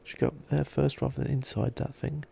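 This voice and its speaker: A man giving relaxed, soft-spoken, low-key ASMR commentary as he plays a video game, close to the mic. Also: fast talker, gravelly, a little hiss or hum. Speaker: gaming ASMR host.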